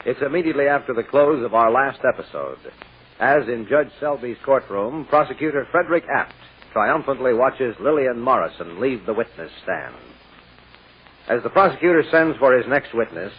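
Very husky guy narrating old radio serial, dramatic, medium pitch, muffled. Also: animated, moderate pace. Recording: dull old-time radio recording.

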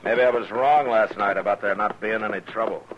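A low-pitched American lawman of the old West, played by a man, measured and dryly pointed. He speaks in radio western style, and the recording is dull and lo-fi. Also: slight background noise, close to an everyday voice. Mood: calm, wary. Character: steady, dry-humored US marshal of a frontier town.

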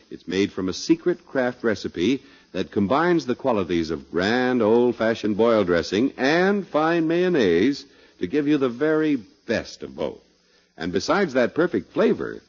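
Male 1950s radio announcer, emphatic, polished, lively intonation, slightly husky, dull vintage sound. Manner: polished, emphatic sales pitch. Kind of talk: 1950s radio advertising and scripted narration. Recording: dull old radio recording.

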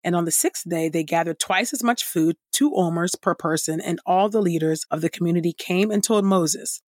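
A woman reading the Bible aloud in a measured, scripted way, with clear and deliberate enunciation. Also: lowish voice, no room sound, studio quality. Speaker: scripture reader and podcast host.

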